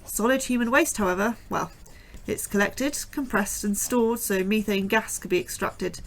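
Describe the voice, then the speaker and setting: A woman's voice: mid-range voice, normal speed, natural voice, poor audio. Woman doing a wry nervy young scientist, chatty and sarcastic, audio drama. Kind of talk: audio drama acting and narration.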